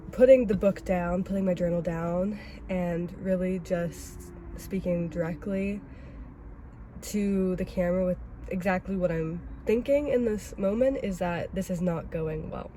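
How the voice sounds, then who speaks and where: A young woman with a cold, sounding raspy and stuffy, chatting casually and rambling in a self-critical way as she vlogs from her car. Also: mid-range voice, dark tone, slight background noise. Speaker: vlogger host. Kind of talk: casual oversharing vlog talk. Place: inside a parked car.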